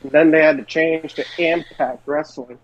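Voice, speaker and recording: A man's voice: a little high, fairly even intonation, a little slow, muffled recording, crowd noise. A man talking casually as a panelist on a wrestling podcast.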